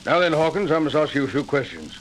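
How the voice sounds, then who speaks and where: An actor playing a brisk, authoritative police inspector, in 1940s radio acting style. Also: male voice, a little high, sing-song. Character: brisk Scotland Yard inspector. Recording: noisy old radio recording.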